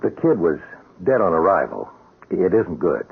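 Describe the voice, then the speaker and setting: A man's voice: sits low, big pitch swings, normal speed, a little hiss or hum. A man playing a cautious senior police captain who probes hesitantly, in an old radio drama. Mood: cautious, grave. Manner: hesitant, probing. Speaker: actor in a radio mystery drama. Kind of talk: 1970s-style radio drama acting. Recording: tinny, band-limited old radio broadcast.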